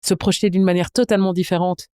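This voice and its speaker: Clear, smooth-voiced woman hosting. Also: on the low side, flat delivery, fast, mellow, no room sound, clean studio sound. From Belgium. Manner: engaged, informal. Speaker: podcast host, interviewer. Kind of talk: casual conversational interview.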